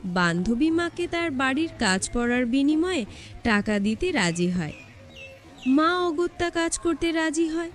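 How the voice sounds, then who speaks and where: Female story narrator, high register, very lively intonation, scripted first-person delivery.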